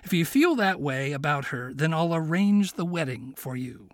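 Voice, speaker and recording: A crafty male fox schemes and coaxes, as a man puts him on for a storybook reading. His voice sits a little high and swoops with lively movement. The sound is close and dry. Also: normal speed, no echo. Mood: persuasive. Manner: coaxing, confiding. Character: clever scheming male fox, smooth talker.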